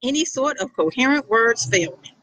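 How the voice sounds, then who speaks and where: Animated woman reading her novel. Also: on the high side, a little fast, low-quality recording. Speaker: author.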